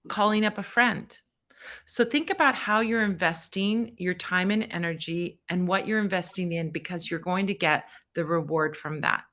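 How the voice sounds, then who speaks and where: Bright-voiced woman hosting a live tarot stream. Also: medium pitch. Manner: chatty and encouraging, thinks aloud while fumbling with the stream setup.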